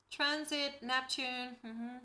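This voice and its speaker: Woman from the Netherlands, native Dutch speaker, astrologer, unhurried chatty delivery, bright tone. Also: high-pitched, echoey room. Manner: chatty, often checks in with "right?". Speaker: astrologer hosting a live stream. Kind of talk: casual live-stream astrology reading.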